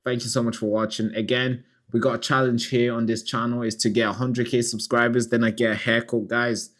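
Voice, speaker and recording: A man talks to his viewers in a relaxed, rambling way, circling back on his words as he comments on the news. His voice sits at an ordinary, middling pitch. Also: a little fast. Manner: loose and chatty, with restarts and asides to viewers. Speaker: channel host. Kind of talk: casual news commentary to camera.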